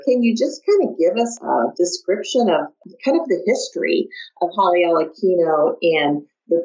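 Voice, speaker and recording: A woman's voice: lowish voice, a little mellow, dull audio. A woman from the American Midwest with an American accent, hosting a conversational podcast interview. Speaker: podcast host.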